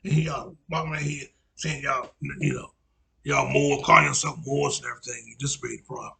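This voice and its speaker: A man in his forties with a raspy voice, a religious teacher giving a rambling monologue that repeats itself and is filled with "you know.". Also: mid-range voice, bright, forceful tone, low-quality recording. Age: 40s. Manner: repetitive, frequent "you know" fillers, lecturing.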